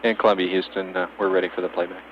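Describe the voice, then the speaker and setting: A man's voice: deep voice, flat delivery, rapid-fire. A man working as a spacecraft communicator, speaking in matter-of-fact procedural radio calls over a muffled, hissy archival link. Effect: two-way space radio. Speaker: capsule communicator at mission control.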